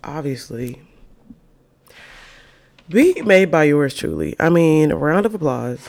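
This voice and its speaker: A woman hosting her own podcast in a casual solo chat, her naturally deep voice made even deeper by covid, talking in a chatty, playful, slangy, self-hyping way. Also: takes it slowly, gravelly. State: sick with covid, voice extra deep. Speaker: podcast host.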